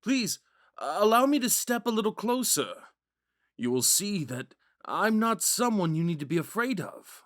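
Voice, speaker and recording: A man playing a gentle, smitten young prince in a romantic audio roleplay, musing and hesitant in a courteous way. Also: sits high, sing-song, normal speed, dry recording, studio quality. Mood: apologetic, reassuring. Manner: musing, hesitant, courteous, with sighs and whispered asides.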